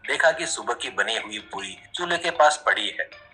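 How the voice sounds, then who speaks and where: Bright, forceful male storyteller over music. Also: mid-range voice, lively intonation, normal speed. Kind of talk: scripted narration of a cartoon story.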